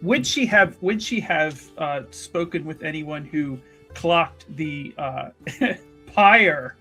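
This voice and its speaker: A man playing a tabletop roleplaying game, casually improvising in a wordy, hesitant way with careful pauses. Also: on the high side, expressive, pushed and bright, soft background music. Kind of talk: casual improvised in-character recap and questions.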